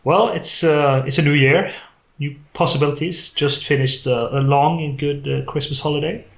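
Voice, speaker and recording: A man from Norway with a Norwegian accent, a native Norwegian speaker chatting casually in English as a podcast guest, in a halting way. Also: a little high, fairly even intonation, gravelly. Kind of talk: casual chat. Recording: roomy, echoey sound.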